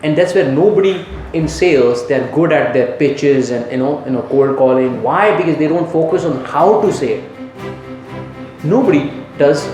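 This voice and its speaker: A man's voice: a little high, animated, darkish tone, faint music behind. Emphatic male sales coach in very echoey room. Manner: emphatic, stresses key words with deliberate pauses; conversational, instructive, asks rhetorical questions. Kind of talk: training talk on voice modulation. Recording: very echoey room with faint noise.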